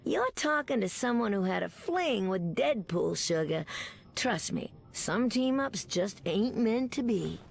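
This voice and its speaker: An actress playing a sassy, confident Southern American mutant superheroine, trading folksy, drawling banter in a video game. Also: female voice, mid-range voice, animated, unhurried, creaky. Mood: wry, teasing. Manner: drawling folksy banter, calls people sugar. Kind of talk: video game character dialogue.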